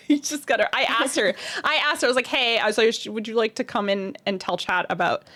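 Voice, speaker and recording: A woman's voice: a little high, fairly quick, sharp tone. A woman hosting a casual livestream, chatty and jokey, acting out conversations as she tells stories. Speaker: livestreamer, host.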